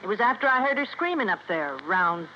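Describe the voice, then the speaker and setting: A muffled old radio recording, slightly hissy, of an American brassy young wife. She has a medium-pitched voice and a colloquial, scornful manner suited to vintage crime drama. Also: very animated, fast, pushed and bright. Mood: recounting, guarded. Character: brassy young building superintendent's wife, scornful of her husband. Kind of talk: golden-age American radio drama acting.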